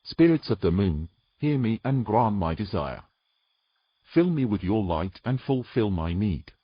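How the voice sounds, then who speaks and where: A man playing a wary, stubborn, educated man in an audio fantasy, with a husky voice and cautious, pleading delivery. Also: fairly low pitch, big pitch swings, measured pace, muffled recording, full character voice. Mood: grave. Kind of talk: dramatic audio fiction acting.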